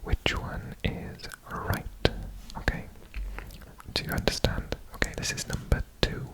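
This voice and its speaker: A man from Wales, whispering a quiz about himself for an ASMR video, repeating single words softly over and over. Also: a little slow, rough recording. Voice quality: whispered throughout. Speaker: ASMR creator.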